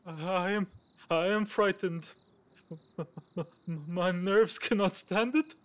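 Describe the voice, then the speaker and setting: A high-pitched, timid gentleman in a classic mystery audio drama. His delivery is fretful and faltering, full of nerves. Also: slow. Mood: frightened. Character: nervous, timid gentleman, high-strung and frightened.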